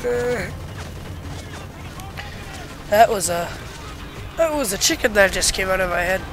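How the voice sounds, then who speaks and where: A congested-sounding gamer giving casual, sweary gameplay commentary with blurted, excitable reactions. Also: medium pitch, a little slow, over music. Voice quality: congested, stuffed-up nose. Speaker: video game player recording commentary. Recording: gunfire and game noise behind voice.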